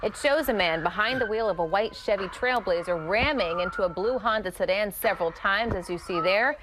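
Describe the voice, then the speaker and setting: Lady anchor reading the news, steady and smooth, bit of background hum. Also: mid-range voice, a little fast. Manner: smooth, even anchor delivery.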